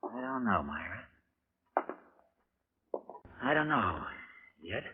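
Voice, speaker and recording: Bitter young husband on tinny old radio. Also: male voice, lowish voice, very animated, moderate pace, a little hiss or hum. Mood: grimly resigned. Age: young adult. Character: bitter, trapped young husband worn down by caregiving. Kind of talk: 1940s radio drama acting.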